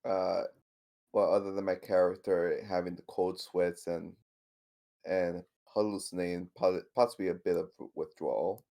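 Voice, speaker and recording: Casting: male game player, deep mellow voice with fry, hesitant casual delivery. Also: little pitch movement. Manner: hesitant, halting. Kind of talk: casual roleplaying game chat.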